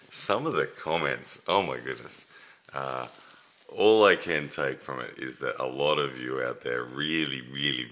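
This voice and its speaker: Male, rock-bottom pitch, tense bright edge, tiring voice, blunt, emphatic, scolding, casual vlog monologue. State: losing his voice, throat-clearing. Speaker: competitive arm wrestler. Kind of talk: casual opinion monologue to viewers.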